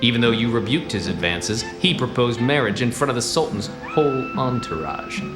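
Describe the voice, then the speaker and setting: An actor playing a wisecracking, hard-boiled 1940s detective with clipped, gruff, deadpan delivery. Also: male voice, medium pitch, normal speed, roomy sound, over music. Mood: commanding. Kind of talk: 1940s-style radio play acting.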